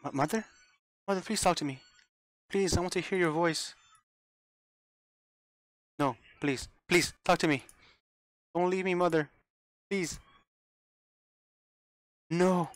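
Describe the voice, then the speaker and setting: Male reader doing a clingy, unhinged young woman; raised pitch, dry close sound, stuttering pleas. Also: steady tone, moderate pace, long pauses, no echo, lightly acted. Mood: pleading. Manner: pleading, sobbing, stumbling restarts.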